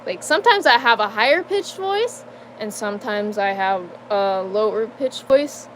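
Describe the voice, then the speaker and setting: A young adult woman giving a casual personal life update, halting and full of fillers, with nervous laughs between sentences. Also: medium pitch, lively intonation, fairly bright tone, slight background noise. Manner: hesitant, halting, many fillers and restarts. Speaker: vlogger.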